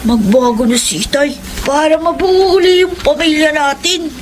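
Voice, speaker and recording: High-voiced, wistful young boy woman acting. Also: normal speed, a little hiss or hum, lightly acted. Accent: Filipino. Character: polite young boy, wistful, missing his father. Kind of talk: Filipino radio melodrama acting.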